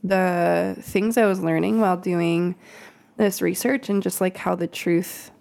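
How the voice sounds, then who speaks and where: A woman's voice: lowish voice, fairly even intonation, a little slow, gravelly, a little mellow. A woman, a children's pastor, talking casually on a podcast and reading scripture aloud, with ums and laughing asides. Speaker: kids' pastor. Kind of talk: casual podcast Bible study.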